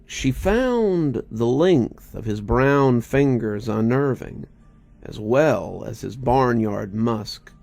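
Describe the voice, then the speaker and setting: A man narrates a story slowly and dramatically, with plenty of gravelly fry in a mid-pitched voice. Music runs underneath the narration. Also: a little mellow.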